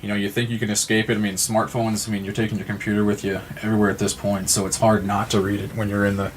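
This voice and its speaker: Low, even-toned man in earnest conversation. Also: fast talker, slight background noise, poor audio. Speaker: podcast guest from a support non-profit. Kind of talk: conversational interview talk.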